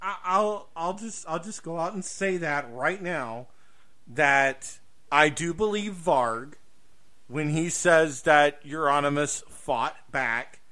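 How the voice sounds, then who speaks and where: A man with a fairly high, sharp, forceful voice talks as a podcast host. He is opinionated and emphatic in a casual way, swearing and repeating his points to drive them home. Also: slow.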